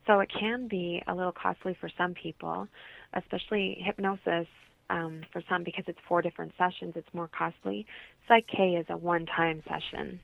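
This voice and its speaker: A woman's voice: fairly low pitch, steady tone, normal speed, gravelly, dry recording. A woman interview guest explaining her holistic practice conversationally over a thin, phone-like line.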